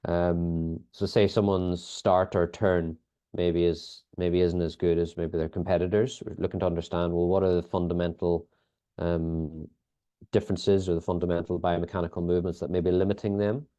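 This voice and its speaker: Deep-voiced Northern Irish man. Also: level tone, normal speed, mellow. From Northern Ireland. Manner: thoughtful, many fillers and restarts. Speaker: sports biomechanics lead, guest. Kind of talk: conversational interview answers. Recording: dull lo-fi audio.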